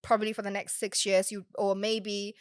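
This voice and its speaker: A woman's voice: medium pitch, little pitch movement, normal speed, no echo, clean studio sound. A woman in her twenties, originally from Bangladesh, chatting as a podcast guest, hesitant with many fillers and restarts. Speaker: food blogger guest. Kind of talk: casual podcast chat.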